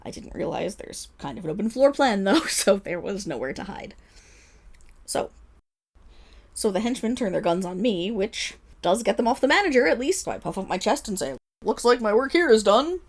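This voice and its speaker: A woman's voice: mid-range voice, very animated, moderate pace. A woman playing a flustered, boastful female superhero who rambles defensively through a dramatic public apology speech in an audio roleplay. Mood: boastful, animated. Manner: rambling, defensive, dramatic storytelling with nervous laughs. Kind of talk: scripted audio roleplay monologue as a press conference speech.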